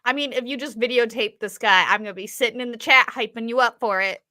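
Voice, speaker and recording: A woman's voice: on the high side, quick pace, pushed and bright. A woman on a livestream panel, chatting casually with a wry, playful manner. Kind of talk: casual chat.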